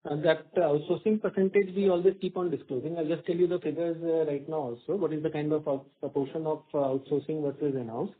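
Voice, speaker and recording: A man's voice: a little high, steady tone, fast, few pauses, slightly rough. A man giving answers as a company executive on an earnings call. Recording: thin phone-like call audio.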